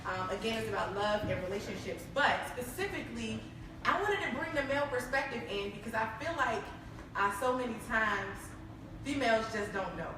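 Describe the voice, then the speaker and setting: A woman's voice: a little high, fairly quick, noisy background. A woman hosting a panel talk show in an upbeat, chatty way with frequent fillers. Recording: echoey room, far from mic.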